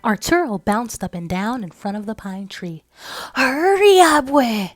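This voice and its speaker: Woman, storyteller, mid-pitched voice, expressive read-aloud narration for children. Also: moderate pace, soft background music.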